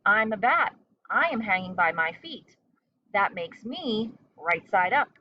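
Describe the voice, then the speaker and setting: A woman voicing a loud, emphatic, matter-of-fact bat in a storybook. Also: mid-range voice, big pitch swings, measured pace, dull audio, a little hiss or hum. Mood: matter-of-fact correcting.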